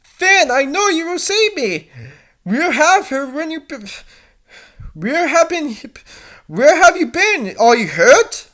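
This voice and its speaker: A performer voicing a young female cartoon character who is dramatic, frightened and hot-tempered, bursting into loud cries. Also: high-pitched, sing-song, slow pace, long pauses, pitched above the performer's own voice, heavily acted. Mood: desperate.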